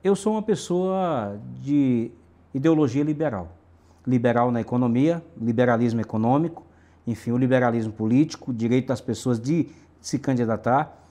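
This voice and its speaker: A man's voice: mid-range voice, moderate pace, dark tone. A middle-aged man from Brazil's Northeast, a city councillor answering interview questions emphatically with lists and repetition.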